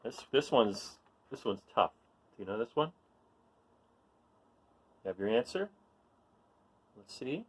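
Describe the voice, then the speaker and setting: A man reading a children's picture book aloud in an informal, expressive way, putting questions to young listeners and encouraging them. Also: mid-range voice, takes it slowly, long pauses, breathy edge. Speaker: read-aloud storyteller.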